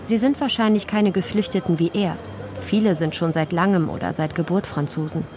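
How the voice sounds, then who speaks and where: A woman's voice: fairly low pitch, a little fast, background noise. A woman reading scripted narration for a radio feature as its reporter.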